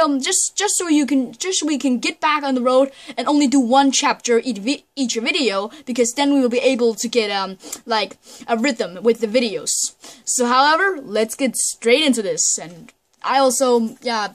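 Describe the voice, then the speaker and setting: A young woman introducing her game playthrough in loose, rambling chat. Her voice sits a little high. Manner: chatty, rambling, many fillers and restarts. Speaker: gaming channel host. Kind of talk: casual let's play commentary.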